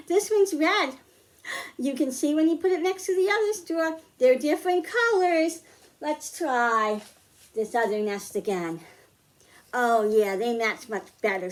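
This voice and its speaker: A woman presenting a science storytime for young children, explaining simply and putting questions to her listeners. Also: fairly high pitch. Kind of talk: storytime read-aloud and hands-on lesson for young children.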